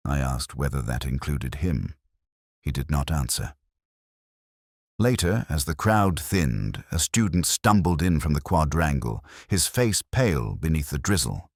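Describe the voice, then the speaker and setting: Very deep-voiced man narrating a detective story. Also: dry recording, studio quality. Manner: measured, literary, solemn delivery. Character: world-weary, brooding male police inspector narrating. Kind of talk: first-person scripted detective story narration.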